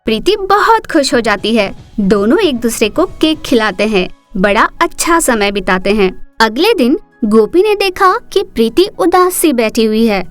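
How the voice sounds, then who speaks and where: High-voiced woman narrating a cartoon story. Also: a little slow, faint music behind. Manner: steady, expressive storytelling.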